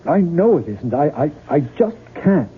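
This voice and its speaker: Weary, hesitant American husband, muffled broadcast. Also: male voice, on the high side, big pitch swings, measured pace. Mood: evasive, subdued. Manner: hesitant, subdued, sighing. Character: weary, troubled, evasive writer husband. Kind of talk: radio drama acting. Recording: muffled, noisy 1970s radio broadcast.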